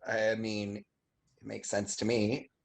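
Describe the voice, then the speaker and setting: A man's voice: fairly low pitch, completely flat delivery, slow pace, gravelly. A young man playing a casual, unsure college student in a staged play reading. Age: young adult. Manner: hesitant, casual, filler-heavy.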